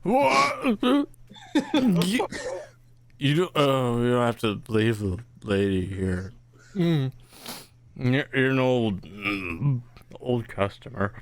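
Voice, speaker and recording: A man's voice: medium pitch, expressive, takes it slowly, long pauses, no echo. A man acting a kindly, mumbling proprietor who hums hesitantly between words in a comedic radio play.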